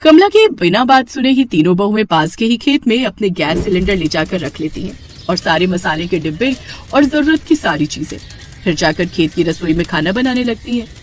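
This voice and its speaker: A woman's voice: mid-range voice, expressive, normal speed, a little hiss or hum. A woman narrating a scripted moral tale as a storyteller.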